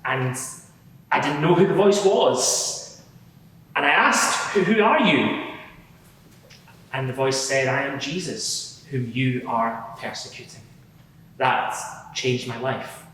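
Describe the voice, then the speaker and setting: A man playing an earnest converted Pharisee giving testimony in a courtroom drama, explaining himself haltingly with repeated words. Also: mid-range voice, fairly bright tone. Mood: earnest recollection. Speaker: witness at a dramatised inquiry. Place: large reverberant courtroom before a live gathering. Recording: echoey hall, distant mic, faint noise.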